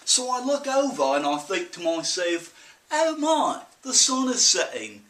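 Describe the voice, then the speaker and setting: A man playing an excitable young farm lad telling a panicked tale in a put-on mix of Australian, Irish and English accents, with theatrical, drawn-out emphasis. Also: sits high, voice pushed up, heavily acted. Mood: folksy, dramatic. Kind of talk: theatrical comic audition monologue. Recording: roomy camcorder sound, distant from mic.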